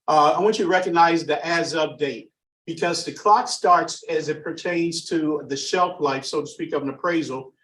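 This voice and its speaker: A slightly hoarse, froggy-voiced man giving an underwriting training presentation, halting with many fillers and restarts. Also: fairly high pitch, a bit bright. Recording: echoey room, far from mic.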